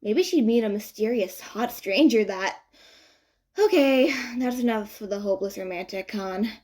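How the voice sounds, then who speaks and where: A woman reading fan fiction as an audiobook narrator, expressive and conversational with playful emphasis. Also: medium pitch, normal speed. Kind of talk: expressive scripted story narration.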